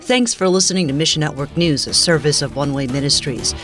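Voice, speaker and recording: A woman presenting scripted radio news in a clearly enunciated, announcer-like delivery. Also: deep voice, brisk, music in the background.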